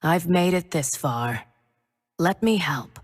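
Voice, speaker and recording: Solemn female game warrior: low, unhurried, bathed in long reverb. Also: lively intonation, exaggerated voice. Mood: solemn resolve. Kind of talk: video game character acting.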